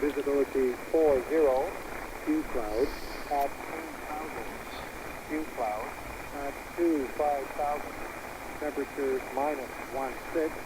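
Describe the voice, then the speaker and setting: A man's voice: medium pitch, unhurried, long pauses. Clipped male shortwave weather bulletin in static. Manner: clipped, monotone, formal. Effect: shortwave radio. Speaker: military aviation weather broadcaster. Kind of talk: scripted weather bulletin in radio phraseology. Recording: noisy shortwave radio through a speaker.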